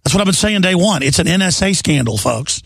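Male talk radio host, middle-aged, hoarse and gravelly, relaxed and rambling, dry studio mic. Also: high voice, fast, dry recording. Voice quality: gravelly, raspy. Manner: relaxed, rambling, repeats words. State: hoarse after pneumonia. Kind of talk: talk radio monologue with ad reads. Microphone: studio microphone.

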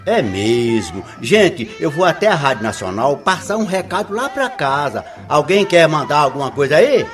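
A man playing a hearty, high-voiced worker. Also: very animated, moderate pace, music in the background. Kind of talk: amateur radio drama acting.

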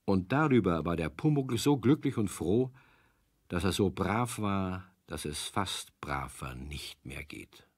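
A male narrator tells a children's story in a conversational way. His voice is fairly low and husky. The sound is dry, with no room around it. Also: expressive, unhurried, dry recording.

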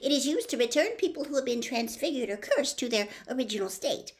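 An eager, bookish storybook schoolgirl, put on by a woman. She recites primly and quickly, in a raised pitch. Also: expressive. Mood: eager, precise. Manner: prim, reciting. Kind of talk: dramatized storybook reading.

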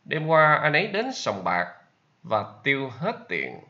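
A man with a Vietnamese accent, a native Vietnamese speaker, teaching English vocabulary and repeating each example sentence slowly. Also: medium pitch, huge pitch swings, bright, forceful tone, muffled recording. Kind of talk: slow vocabulary lesson with repetition.

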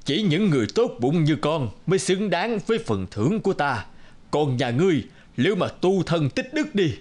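A man voicing a benevolent old bearded sage in an animated folktale, solemn and moralizing. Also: on the high side, moderate pace.

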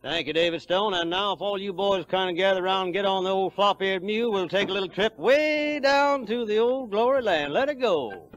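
A man's voice: high-pitched, lively intonation, a little fast, few pauses, lo-fi sound. A man hosting a 1940s barn dance radio show in a hearty, folksy way. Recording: vintage 1940s radio broadcast.

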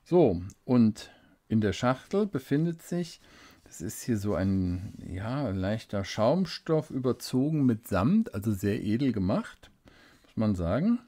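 A man giving a casual unboxing review at a desk, unhurried and thinking aloud with small fillers. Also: fairly low pitch, sing-song, warm tone. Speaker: fountain pen reviewer. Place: indoors at a desk, hands-only camera. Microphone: studio desk microphone.

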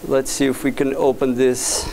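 A man from Portugal in his thirties giving a casual, halting presentation in a lecture hall, full of fillers and restarts, recorded from a camera at the back of the room. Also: mid-range voice, little pitch movement, vocal fry, darkish tone, slight background noise. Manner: hesitant, many fillers and restarts. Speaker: guest speaker, VJ and doctoral student. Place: dim lecture hall with projector screen. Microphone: far camera at back of room.

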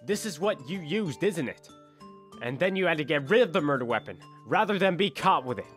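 Casting: a man as an accusing defense attorney for audio drama, argumentative, slightly high voice, faint music behind. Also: expressive, brisk, a bit bright. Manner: pointed, argumentative.

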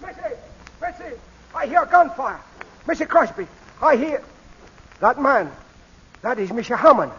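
A panicky high-voiced servant. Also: male voice, sing-song, unhurried, pauses often, a bit bright, slightly rough, faint music behind, full character voice. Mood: panicked. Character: frightened male servant in broken English. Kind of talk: 1940s radio acting. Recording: dull old radio broadcast.

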